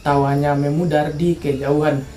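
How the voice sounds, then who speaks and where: A woman's voice: low-pitched, flat delivery, moderate pace, warm tone, roomy sound, slight background noise. A woman from Indonesia telling true-crime stories for her channel in a casual, slangy narrating style.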